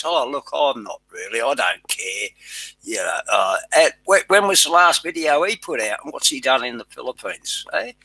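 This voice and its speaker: A man's voice: mid-range voice, sharp tone, no echo, low-quality recording. Blunt, mocking man. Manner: blunt, mocking, swears freely. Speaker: channel host. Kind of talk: casual chat on a live show.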